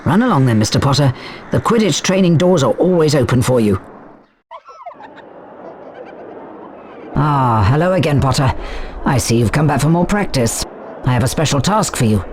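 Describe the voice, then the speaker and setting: An actor playing a brisk, formal flying instructor at a wizard school, giving instructions in a video game. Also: male voice, mid-range voice, lively intonation, darkish tone, slight background noise, natural voice. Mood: approving, formal. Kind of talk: video game tutorial acting.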